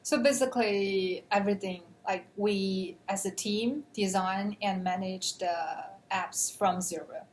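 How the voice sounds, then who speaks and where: A young woman from China, a native Chinese speaker, answering interview questions in an earnest, measured way. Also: medium pitch, steady tone, a little hiss or hum, rough recording. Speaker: graduate student.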